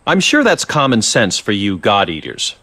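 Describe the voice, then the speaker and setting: Sly, swooping professorial scientist. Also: male voice, fairly low pitch, huge pitch swings, normal speed, sharp tone, exaggerated voice. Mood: lecturing, intrigued. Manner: professorial, smooth, theatrical. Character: sly, genial male research scientist, middle-aged. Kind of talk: video game cutscene acting, expository dialogue.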